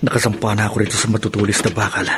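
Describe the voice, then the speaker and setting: An actor playing a desperate poor husband turned burglar, brooding aloud to himself in a radio drama. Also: male voice, on the low side, flat delivery, rapid-fire, noisy background, close to an everyday voice. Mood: determined.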